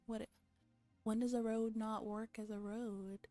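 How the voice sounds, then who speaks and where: Female streamer, higher-pitched, playful and easygoing ASMR chat, faint music underneath. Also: measured pace, no room sound. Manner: playful, giggly, relaxed banter. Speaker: streamer host reading a visual novel aloud. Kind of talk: casual livestream chat mixed with game read-aloud, ASMR.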